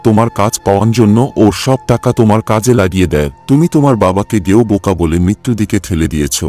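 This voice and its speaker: Guy doing older company boss in a cartoon, low voice, lecturing sternly, faint music under it. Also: expressive, brisk, a little mellow, natural voice. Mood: stern, reproachful. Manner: scolding, lecturing.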